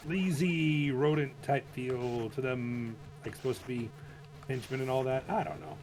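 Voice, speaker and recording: A man's voice: medium pitch, unhurried, darkish tone, low-quality recording. A man chatting casually as a guest on a game stream, hesitant and agreeable. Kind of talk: casual chat. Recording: music playing faintly behind the voice.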